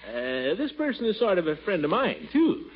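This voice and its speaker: An actor playing a pompous, blustering middle-aged bachelor who hems and stammers, in 1940s radio acting. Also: male voice, high-pitched, huge pitch swings, moderate pace. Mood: flustered coaxing. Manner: hemming, stammering, coaxing. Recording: muffled vintage radio broadcast.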